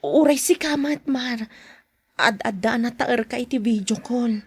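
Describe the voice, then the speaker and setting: A woman acting a married mother who keeps a secret, in a soft confiding podcast drama style. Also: fairly high pitch, unhurried, slightly rough. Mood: coy. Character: married mother at home, drawn into a secret affair. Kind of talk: Philippine podcast radio drama acting with narration.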